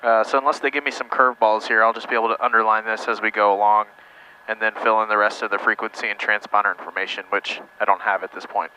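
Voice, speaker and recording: A man's voice: on the low side, little pitch movement, quick pace, bright, forceful tone, slight background noise, low-quality recording. A man giving a casual instructional explanation in a plain-spoken, conversational way, as a presenter teaching practical tips.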